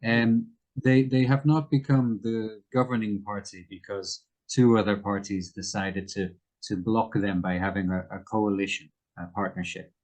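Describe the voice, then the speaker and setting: Male interview guest, lower register, careful and halting, explains current affairs in conversation. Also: fairly even intonation, darkish tone.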